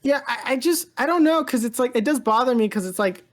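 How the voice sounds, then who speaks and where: A woman's voice: a little high, lively intonation, brisk. Rambling woman in casual podcast chat. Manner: rambling, hedging, informal, heavy on "like" and "kinda". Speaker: podcast co-host.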